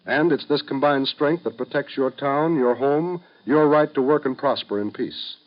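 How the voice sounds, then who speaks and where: A man's voice: medium pitch, moderate pace. A man announcing in a formal, emphatic, declamatory 1950s radio style, reading from a script. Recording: dull vintage radio broadcast.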